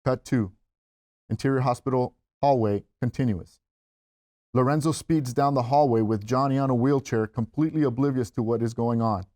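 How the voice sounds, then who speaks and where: A man's voice: mid-range voice, moderate pace, a little mellow. Man narrating screenplay stage directions. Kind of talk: scripted screenplay narration at a table read.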